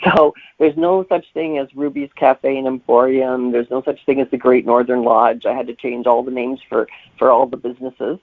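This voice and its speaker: A man's voice: mid-range voice, fairly even intonation, normal speed, dry recording. A man answering interview questions as a guest novelist in a conversational, reflective way, explaining things as he goes. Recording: thin telephone-like line.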